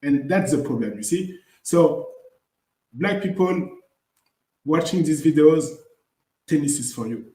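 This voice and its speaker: A man co-hosting a podcast, speaking earnestly and haltingly, often checking in with "you know?" and "you see?". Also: a little high, expressive, mellow. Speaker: podcast co-host. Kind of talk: casual chat. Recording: echoey room.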